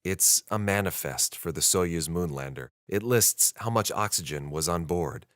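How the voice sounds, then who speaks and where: A man's voice: lowish voice, no room sound, studio quality, natural voice. A man with an American accent playing an earnest, frustrated 1960s reporter who narrates a brooding audio diary in a radio drama. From United States.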